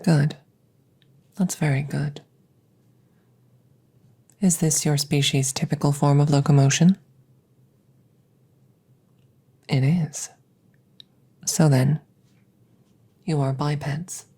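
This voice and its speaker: Alien researcher, played by a woman: female, low and dark-toned, hushed, clinical yet curious, slow deliberate phrasing for close-up sci-fi ASMR. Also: fairly even intonation, slightly rough, heavily acted. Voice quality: soft, often whispered close to the mic. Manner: slow, measured, formal, inquisitive. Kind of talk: ASMR roleplay. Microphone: close microphone.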